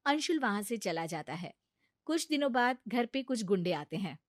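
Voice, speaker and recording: A woman's voice: mid-range voice, very animated, normal speed, no room sound, studio quality. A woman narrating a scripted cartoon story.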